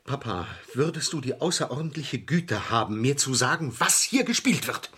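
An actor playing a sarcastic, easily piqued young lawyer, the son in a German radio crime drama, with emphatic theatrical delivery. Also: male voice, mid-range voice, sing-song, moderate pace, bright, forceful tone, husky, heavily acted. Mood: indignant. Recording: vintage radio play studio recording.

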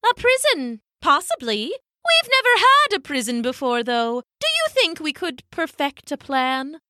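Woman voicing a fussy, high-pitched old lady penguin. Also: very animated, measured pace, sharp tone, no echo, clean studio sound, full character voice. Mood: perplexed. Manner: exclamatory, alliterative, fussy. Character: elderly female penguin, fussy, indignant, plummy alliterative talker.

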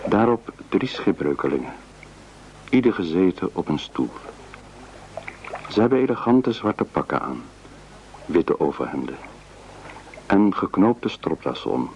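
Very deep, slow male narrator. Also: sing-song, darkish tone. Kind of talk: scripted radio play narration. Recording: dull, noisy old radio recording.

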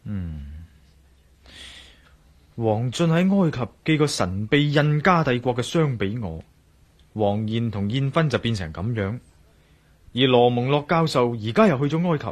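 A shrewd adventurer and amateur sleuth in a radio drama, with a Hong Kong Cantonese accent. His voice sits at a medium pitch. He speaks in a measured, self-assured way with a wry edge. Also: expressive. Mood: pondering.

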